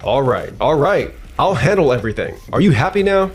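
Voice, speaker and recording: A man's voice: on the high side, very animated, a little fast, soft background music. A man playing an irritable, defensive company boss in a manga dub, curt and exasperated. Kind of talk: expressive manga dub voice acting.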